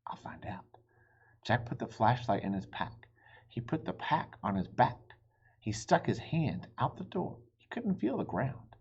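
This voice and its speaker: A man's voice: medium pitch, very animated, measured pace, a little mellow, breathy edge, dull audio. A man reading a children's storybook aloud with dramatic, suspenseful expression. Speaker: storybook reader.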